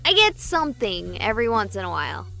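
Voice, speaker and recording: A woman's voice: mid-range voice, big pitch swings, a little fast, vocal fry, pushed and bright, faint music behind, full character voice, rough recording. An actress voicing a skeptical, exasperated young female game streamer in a visual novel. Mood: frustrated.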